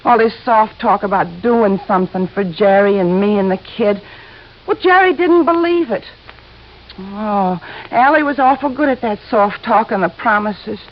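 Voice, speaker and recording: An actress playing a young working-class widow, a defensive gun-moll type, slangy and halting, in 1950s radio drama style. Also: female voice, mid-range voice, lightly acted. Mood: bitter. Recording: muffled old radio broadcast.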